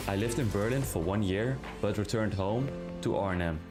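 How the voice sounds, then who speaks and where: A man's voice: lowish voice, normal speed, over music. A man from the Netherlands, a pro esports player speaking in simple, direct phrases in an interview voiceover. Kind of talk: documentary-style interview voiceover.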